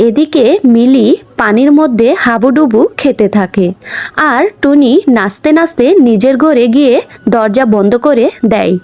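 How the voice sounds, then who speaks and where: High-pitched woman storyteller, dry sound. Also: a little mellow, no room sound. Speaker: narrator. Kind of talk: scripted fairy-tale cartoon narration.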